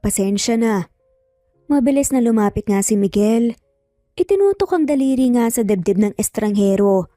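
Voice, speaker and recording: Higher-pitched Filipino woman storyteller, mellow. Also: animated, moderate pace, no echo, clean studio sound, faint music behind. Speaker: story narrator. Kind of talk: dramatic scripted story narration in Tagalog.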